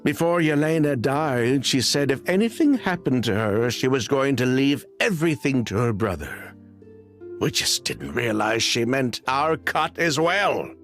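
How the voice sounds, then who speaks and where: A man's voice: medium pitch, sing-song, unhurried, a bit bright, soft background music. An actor playing a bitter middle-aged man, a defiant perfume schemer. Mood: weary.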